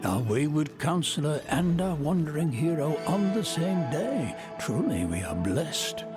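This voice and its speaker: A stately councilor, widely swooping delivery. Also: male voice, on the high side, very animated, unhurried, music in the background. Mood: formal, wry. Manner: formal, stately, pronouncing. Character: formal, magnanimous male city councilor, authoritative. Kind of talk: video game dramatic dialogue.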